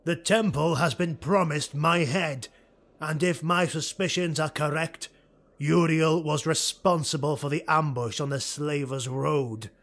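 A man voicing a cold, commanding, vengeful elf lord with an imperious, forceful delivery, for an audiobook. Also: a little high, steady tone, a little slow, pitched above the performer's own voice. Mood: cold, defiant.

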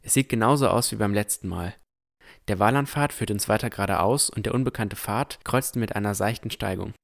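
Ein Mann spielt einen vorsichtigen jungen Entdecker, der sein Fantasy-Abenteuer bedacht und ernsthaft in der Ich-Form erzählt. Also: male voice, fairly low pitch, a little fast, gravelly. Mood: calm narrating. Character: cautious, thoughtful young male explorer narrating his adventure. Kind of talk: first-person scripted narration in fantasy audio drama.

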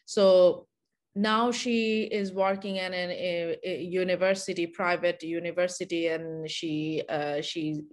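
A woman filmmaker with a Bangladeshi accent, from Bangladesh, answering in a webinar interview over a video call, halting, with many filler sounds. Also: lowish voice, steady tone. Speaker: documentary filmmaker, guest.

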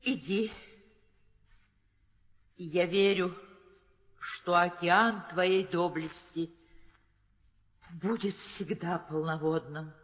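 A woman's voice: fairly low pitch, fairly even intonation, very slow, pauses often. An actress playing a dignified, grieving mother queen in an old theatrical audio drama. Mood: grieving resolve. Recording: old radio play, hall reverb.

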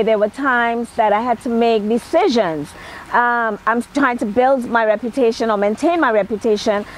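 High-voiced Trinidadian woman, emphatic. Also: slight background noise, poor audio. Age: middle-aged. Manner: emphatic, punctuated with "okay". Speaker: celebrity hairstylist and makeup artist. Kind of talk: motivational personal talk to camera. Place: outdoor patio at night.

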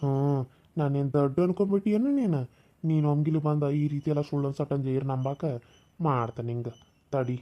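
An actor playing a cruel, scheming village husband who mocks and threatens. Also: male voice, a little high, a little fast, no echo, highs cut off, lightly acted. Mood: scornful. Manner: mocking, threatening, scornful laughter.

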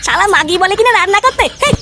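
A performer voicing a rebellious, put-upon boy in a comedy cartoon, squeaky and endlessly griping with constant swearing. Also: expressive, very fast, creaky, bright, forceful tone, slight background noise, exaggerated voice. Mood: angry.

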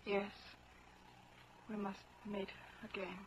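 An actress playing a broken, guilt-ridden woman, subdued and halting, in 1940s radio drama style. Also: mid-range voice, little pitch movement, lightly acted. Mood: bleak resignation. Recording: muffled vintage 1940s radio recording, faint noise.

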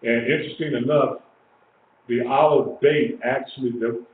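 Male religious teacher, 60s, emphatic and repetitive, medium pitch, echoey small room, phone-like sound. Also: steady tone, moderate pace. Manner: emphatic, repeats phrases for emphasis. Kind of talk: informal sermon, teaching to a small group. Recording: echoey, tinny lo-fi webcam stream with hiss.

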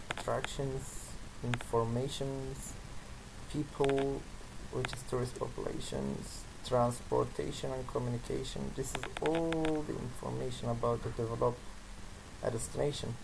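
A gravelly-voiced presenter who speaks English as a second language. The delivery is halting, moving through slide text with short asides. Noticeable noise sits behind the voice in an amateur recording. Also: mid-range voice. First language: not English. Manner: halting, non-native phrasing.

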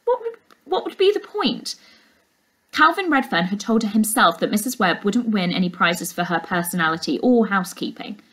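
A young woman reading a children's novel aloud as a teacher, in an expressive storytelling style. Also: fairly high pitch, low-quality recording. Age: young adult. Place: furnished indoor room.

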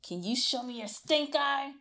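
A woman's voice: sits high, animated, normal speed, fairly bright tone. A young adult woman reading a storybook aloud to young children in a theatrical, exaggerated way, coaxing them to join in. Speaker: children's read-aloud teacher. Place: small colorful classroom-like room, indoors.

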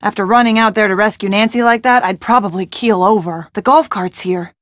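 An actress playing a wry, peppy young woman in an adventure game, expressive and colloquial, with an American accent. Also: mid-range voice, fairly quick, no echo, studio quality, close to an everyday voice. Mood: wry, matter-of-fact. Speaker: video game voice actor. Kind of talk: scripted adventure game dialogue.

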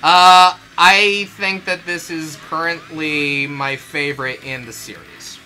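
A man's voice: fairly high pitch, fairly even intonation, takes it slowly, sharp tone, low-quality recording. A young man chatting casually about a video game as a show co-host, giving his opinions emphatically. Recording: music behind the voice. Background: video game music.

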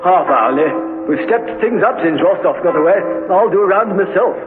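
A woman playing a brisk, baffled prison colonel in a radio drama, husky and clipped in manner. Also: fairly low pitch, expressive, over loud music, lightly acted. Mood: puzzled, businesslike. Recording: muffled, lo-fi radio recording.

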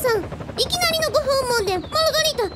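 Very high, quaintly polite little girl. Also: big pitch swings, very fast, fairly bright tone, slight background noise, heavily acted. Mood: astonished. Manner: archaic, ultra-polite, formal speech. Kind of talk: radio drama acting.

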